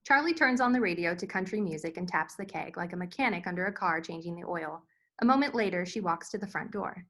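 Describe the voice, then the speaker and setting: A woman's voice: lowish voice, animated, fast talker, a bit bright. A woman narrator reading screenplay scene directions as scripted narration.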